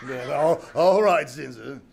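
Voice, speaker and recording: A man's voice: on the high side, a little slow, creaky, a crowd in the background. A man playing an anxious, melodramatic husband in an old-time radio comedy, theatrical and exclamatory. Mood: weary, exasperated. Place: small-town theater stage, live performance. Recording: live stage recording with noise.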